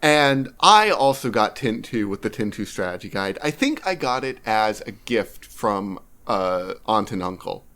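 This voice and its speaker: A man's voice: on the low side, lively intonation, measured pace, hardly any pauses, a bit bright. A man co-hosting a podcast, chatting casually about games in a wry, self-mocking way. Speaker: podcast co-host. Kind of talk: casual anecdotal game talk.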